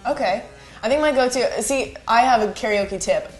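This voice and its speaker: A woman's voice: fairly high pitch, normal speed, roomy sound, soft background music, low-quality recording. A woman from Canada hosting a casual, playful interview in a karaoke bar, teasing and laughing at herself. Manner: playful, teasing, self-mocking. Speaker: host.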